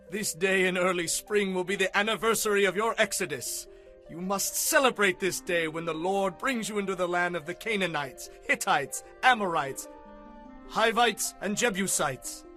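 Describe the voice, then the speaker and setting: Casting: male actor as solemn, fervent biblical prophet; raised, forceful voice, declamatory, music underneath. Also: high voice. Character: Moses, fervent male prophet solemnly addressing his people. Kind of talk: dramatized Bible reading.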